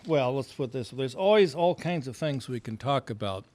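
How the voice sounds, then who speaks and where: A man's voice: mid-range voice, expressive, normal speed, darkish tone. A man chairing a club meeting with informal, rambling and hesitant remarks and announcements.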